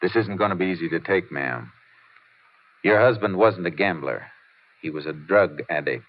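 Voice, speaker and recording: An American man acting a steady, laconic Texas Ranger in an old radio drama, courteous but determined, with clipped matter-of-fact delivery. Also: low voice, normal speed. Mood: gentle, firm. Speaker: lead actor and narrator. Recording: muffled old radio recording with music.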